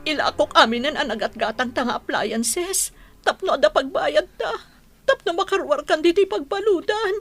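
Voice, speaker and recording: A woman's voice: sits high, expressive, normal speed, soft background music. An actress with a Filipino accent playing an emotional, pleading wife and mother in a radio drama. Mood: tearful, pleading. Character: anguished wife and mother of a jailed husband.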